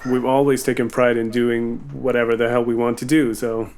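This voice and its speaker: A man with an average-pitched voice hosts a relaxed group Q&A. He talks informally and hesitantly, often correcting himself as he works toward an answer. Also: moderate pace. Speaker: panel host and musician reading out fan questions. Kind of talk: casual group Q&A chat. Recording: compressed group call audio.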